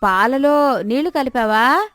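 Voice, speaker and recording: A woman voicing an angry village customer who scolds and accuses with sharp questions in a moral story. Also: sits high, expressive, slow, no room sound, faint music behind, voice pushed up, full character voice. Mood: suspicious, scolding.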